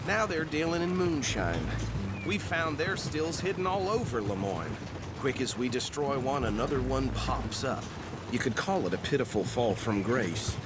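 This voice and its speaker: An actor playing an earnest, chatty small-town deputy in a western game, self-important and folksy, with a Southern US accent. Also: male voice, mid-range voice, very animated, moderate pace, very noisy, lightly acted. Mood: gossipy. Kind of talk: western video game acting.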